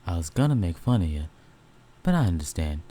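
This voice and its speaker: A man acts out a male werewolf in an audio roleplay, casual and blunt. His voice is deep, husky and very mellow. He teases and competes, yet stays a loyal partner. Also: very animated, brisk. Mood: teasing.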